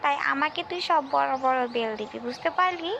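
A quarrelsome little girl from a cartoon village tale, acted by a woman. The voice is extremely high with a creaky edge, whiny and insistent. Clear noise sits behind it. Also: expressive, fairly quick, a bit bright, lo-fi sound, full character voice. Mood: demanding, quarrelsome. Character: bossy, squabbling little village girl sisters. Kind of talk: cartoon folk-tale voice acting.

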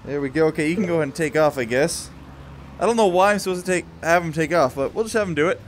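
A young man gives casual, excited commentary as he plays a video game, playful and full of exclamations. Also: on the high side, fast talker, slight background noise. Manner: playful, exclamatory, talks along while moving in a VR game. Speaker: gaming video host.

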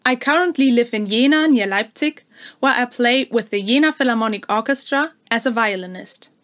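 A woman's voice: on the high side, moderate pace, fairly bright tone. A woman in her twenties from Germany, a violinist, introducing herself to camera at home. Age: 20s. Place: small room at home.

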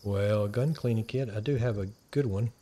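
A man's voice: fairly low pitch, moderate pace, a little hiss or hum. Texan man rambling over gameplay. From Texas. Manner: relaxed, rambling, thinks aloud while playing. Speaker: gaming channel host. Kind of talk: casual gameplay commentary.

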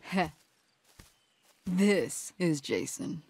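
A woman's voice: lowish voice, animated, very slow, a little mellow, slightly rough, heavily acted. A woman voicing a smug, laid-back hippie cult leader in a cartoon game, slangy and preachy. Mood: chill, welcoming. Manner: slangy, preachy, faux-chill. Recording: music behind the voice.

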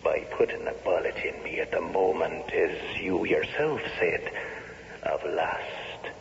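An actor playing a sly, reproachful ghost in a 1970s radio drama, speaking with slow, insinuating deliberation. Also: male voice, low voice, vocal fry, exaggerated voice. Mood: grave reproach. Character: ghost of a slain Irishman, sly, reproachful tempter. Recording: echoing, noisy, muffled old radio broadcast.

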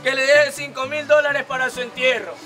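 A man playing the deadpan straight man in an outdoor street comedy sketch, teasing and mock-threatening. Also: extremely high pitch, normal speed. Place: outdoor plaza before a large seated crowd. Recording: outdoor crowd noise and music.

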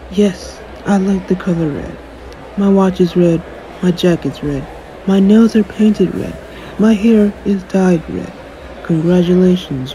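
A man's voice: sits high, warm tone, a little hiss or hum, low-quality recording. A man playing a cocky, jaded young superhero in an audio roleplay, talking in a dry, sarcastic, aloof way. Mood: irritated, sarcastic. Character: jaded, cocky young male superhero, dismissive of his fan. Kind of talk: audio roleplay acting, one-sided conversation.

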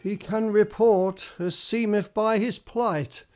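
A stately, gracious king acted by a man speaks slowly in a high male voice, as in classical radio drama. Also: steady tone, a little mellow, soft background music. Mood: commanding.

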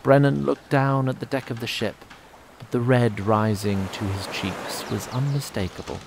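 A man's voice: mid-range voice, fairly even intonation, moderate pace, vocal fry, background noise. A man narrating a fantasy story in a scripted storybook style, wry, ornate and formal.

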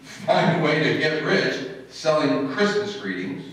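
A man's voice: a little high, expressive, a little slow, a bit bright. A man playing a long-suffering, sarcastic husband who narrates an old-time radio comedy on stage. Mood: sardonic. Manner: dry, sarcastic. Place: live stage in an indoor hall, distant camera. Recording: echoey noisy hall, far from microphone.

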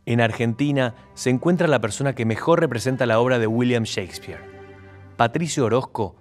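A man reading a scripted voice-over in an even, informative way. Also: mid-range voice, a little fast, faint music behind. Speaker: narrator.

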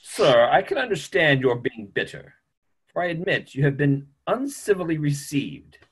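A man's voice: on the high side, sing-song, moderate pace, breathy edge, exaggerated voice. A middle-aged man playing a grave, courteous doctor in a play reading, formal and emphatic. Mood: courteous, serious. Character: grave, courteous male doctor, firm in his opinions. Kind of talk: remote script reading of a stage play. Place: small room at home, video call.

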